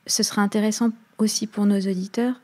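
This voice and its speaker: Mellow-voiced woman interviewer, measured. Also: mid-range voice, steady tone. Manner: measured, attentive, lays out questions at length. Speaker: interviewer, host. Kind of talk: long-form interview questions.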